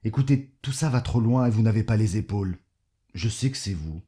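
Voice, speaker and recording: A man's voice: lowish voice, lively intonation, a little fast, mellow, natural voice. A man playing a smug, condescending, corrupt mayor in an audio drama, patronising and coolly menacing. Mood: patronising, pressing. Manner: patronising, cajoling. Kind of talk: French audio fiction acting.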